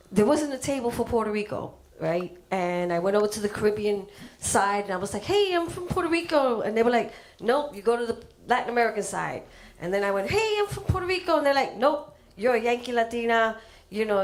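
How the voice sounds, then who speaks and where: Woman telling a story to a gathering. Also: mid-range voice. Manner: storytelling, animated when acting out quotes. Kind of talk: speaking at an artist reception.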